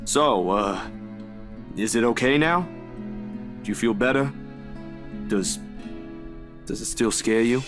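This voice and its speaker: A man's voice: medium pitch, slow pace, pauses often, lightly acted. A male actor playing an easygoing, protective young best friend in a visual novel, with a casual, caring manner. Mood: gently concerned. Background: guitar music.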